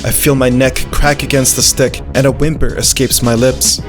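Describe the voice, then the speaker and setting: A performer voicing an earnest, childlike, food-loving male dog who narrates a visual novel in an animated, naive way. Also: mid-range voice, moderate pace, music in the background, natural voice. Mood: pained, scared. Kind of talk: first-person visual novel narration with character dialogue.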